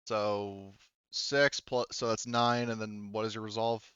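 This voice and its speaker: Smooth, low-voiced man running a game. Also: flat delivery, a little slow, fairly bright tone, no echo, muffled recording. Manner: informal, swearing. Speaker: game master. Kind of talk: running a tabletop roleplaying game, casual explaining.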